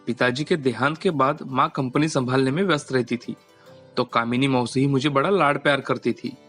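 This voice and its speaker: A man's voice: medium pitch, steady tone, fairly quick, a bit bright, lightly acted. A man voicing an earnest, stubborn young man, a pampered lovestruck son in a cartoon story. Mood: smitten. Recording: music bed behind the voice.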